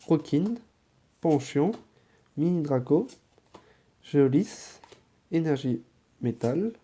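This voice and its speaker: Male vlogger with a cold: unhurried, deep-toned, dark and soft delivery, mumbles asides mid-task. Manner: relaxed, drops to muttered asides while handling cards. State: has a head cold and sore throat. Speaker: card-opening vlogger. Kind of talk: casual chat while opening card packs.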